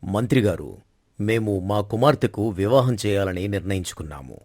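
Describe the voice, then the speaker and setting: A proud middle-aged king played by a man for a moral-story drama. The voice sits low and the delivery is formal and stately, built for giving orders and wry remarks. The recording is close and dry. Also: animated, moderate pace, a little mellow, dry recording. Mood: commanding, decisive.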